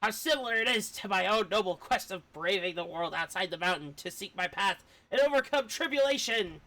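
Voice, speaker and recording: A woman's voice: medium pitch, lively intonation, a little fast, fairly bright tone, lightly acted. A woman voicing a pompous, romantic little robot scholar from a game, with grandiose, flowery, old-fashioned speech. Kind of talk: game dialogue read aloud in character.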